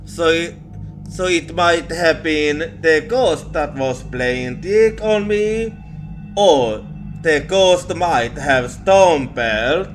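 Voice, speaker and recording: Guy doing asmr spooky storytelling, really slow n halting, kinda high voice, music clearly behind him. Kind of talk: ASMR roleplay ghost storytelling.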